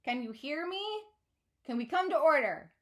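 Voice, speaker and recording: A woman's voice: high voice, lively intonation, moderate pace, fairly bright tone, voice pushed up. A woman voicing an earnest woman food-truck vendor from a storybook, projecting as she rallies a meeting. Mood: straining to project. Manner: projecting, speaking up to a room.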